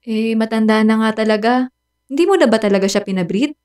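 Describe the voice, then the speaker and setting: An actress with a Filipino accent playing a frank, practical, mildly sympathetic friend in a radio drama. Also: female voice, fairly high pitch, brisk, a little mellow, lightly acted. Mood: matter-of-fact. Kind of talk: Tagalog radio drama acting.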